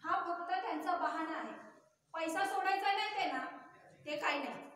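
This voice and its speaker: A woman playing a sharp-tongued, money-minded young wife in a domestic drama, taunting, scolding and imperious. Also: very high voice, lively intonation, moderate pace. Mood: nagging, taunting. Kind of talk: melodramatic Marathi stage-style domestic drama acting. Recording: very echoey room, distant mic, music.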